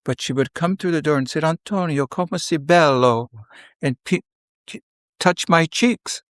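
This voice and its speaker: An older man reminisces conversationally, in halting, unhurried phrases, often acting out bits of dialogue. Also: fairly high pitch, no echo, studio quality. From South Philadelphia, United States. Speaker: podcast guest.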